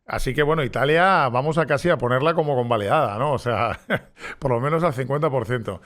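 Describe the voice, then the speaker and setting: A man's voice: mid-range voice, a little fast, a bit bright. A man hosting an interview podcast, talking with many hesitations and restarts. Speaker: podcast host.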